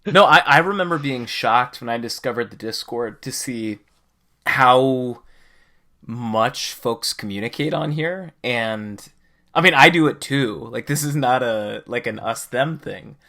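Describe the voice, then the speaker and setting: Bright-voiced man chatting on a podcast. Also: medium pitch, a little slow, creaky. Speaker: podcast co-host. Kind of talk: casual podcast discussion.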